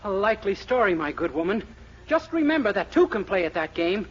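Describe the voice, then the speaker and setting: A man's voice: sits high, sing-song, brisk, slightly rough, heavily acted. An actor playing a naive, jealous young man in a 1940s radio comedy, indignant and self-important in a mock-formal way. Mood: wounded, haughty. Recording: muffled, noisy 1940s radio recording.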